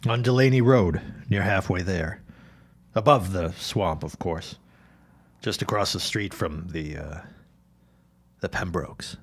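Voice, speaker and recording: An actor playing an earnest, troubled forty-year-old newspaperman and widowed father in a period audio drama, speaking haltingly. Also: low voice. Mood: earnest, firm.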